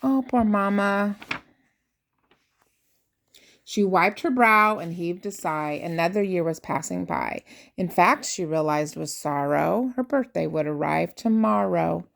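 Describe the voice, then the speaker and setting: An older woman, a grandmother, reads a rhyming children's picture book aloud with expressive, theatrical emphasis on key words. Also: medium pitch, gravelly. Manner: theatrical emphasis, drawn-out key words, dropping to hushed asides.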